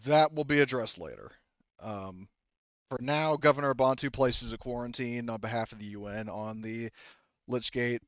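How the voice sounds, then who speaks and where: A man working as game master, narrating an improvised story in a halting, conversational way with some casual swearing. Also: fairly low pitch, fairly bright tone, no room sound, lo-fi sound.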